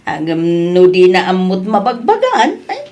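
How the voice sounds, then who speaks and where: A woman's voice: medium pitch, wildly swooping pitch, moderate pace, a little mellow. A woman playing an older mother in an audio drama, chiding and exclamatory, concerned and meddling, with an Ilocano accent. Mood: scolding.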